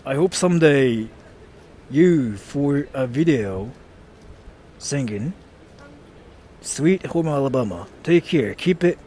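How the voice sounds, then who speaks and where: A man's voice: mid-range voice, lively intonation, slow, pauses often, warm tone, slight background noise. A young American man on a casual live stream, joking about himself and thanking viewers for donations. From United States. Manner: joking, self-mocking, reads chat aloud.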